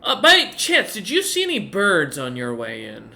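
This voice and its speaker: Guy putting on a scatterbrained old dwarf wizard, high and loud, bright edge. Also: moderate pace, vocal fry, heavily acted. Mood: rambling. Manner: scattered, distracted, excitable. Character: eccentric old male dwarf sorcerer.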